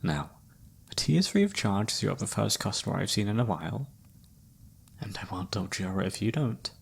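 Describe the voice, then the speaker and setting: Guy doing a flirty wandering palm reader for fantasy asmr, lowish gravelly breathy voice, teasing n dramatic. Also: very animated, fairly quick, exaggerated voice. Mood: flirty, soft.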